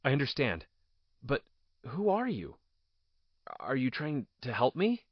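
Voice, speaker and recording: An actor playing an earnest, defiant fugitive hero in an audio drama, speaking with urgency. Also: male voice, medium pitch, very animated, unhurried, dry recording. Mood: anxious. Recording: muffled sound, music behind.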